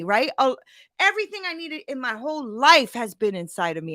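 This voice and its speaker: A woman's voice: sits high, pushed and bright, no echo, clean studio sound. A woman in her forties hosting a conversational motivational monologue, emphatic and blunt, with slangy phrasing and frequent rhetorical check-ins. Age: 40s. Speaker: podcast host and coach.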